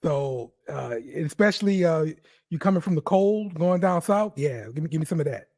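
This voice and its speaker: A man's voice: on the high side, lively intonation, moderate pace, a little mellow. A man co-hosting a casual sports podcast, upbeat and teasing, often repeating himself. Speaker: podcast co-host.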